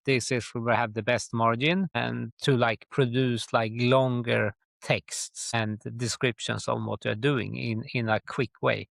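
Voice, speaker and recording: A middle-aged man from Sweden with a Swedish accent, answering interview questions conversationally in a halting way, often dropping in "like". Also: medium pitch, steady tone, creaky, a bit bright, no room sound. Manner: halting, with very frequent "like". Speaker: guest, tour company chief executive.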